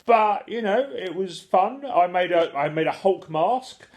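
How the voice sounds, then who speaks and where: A man's voice: high voice, expressive, a little slow. A man chatting casually and comically, with a jokey, self-deprecating and slightly rambling, hesitant manner.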